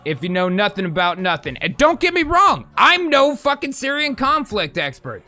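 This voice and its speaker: An American man hosting a casual livestream talk show, rambling and swearing freely as he thinks out loud. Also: sits high, wildly swooping pitch, moderate pace, soft background music. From United States. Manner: rambling, profane, thinks aloud. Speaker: host.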